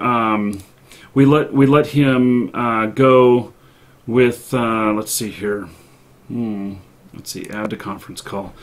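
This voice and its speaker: A man hosting a live stream in an unscripted, chatty way, speaking haltingly with long pauses. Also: medium pitch. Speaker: live show host.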